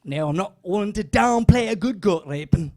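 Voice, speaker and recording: A man performing rowdy medieval-themed stand-up in a put-on Scottish accent, shouting a rally speech at a crowd. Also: sits high, expressive, normal speed, faint music behind. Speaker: comedy performer.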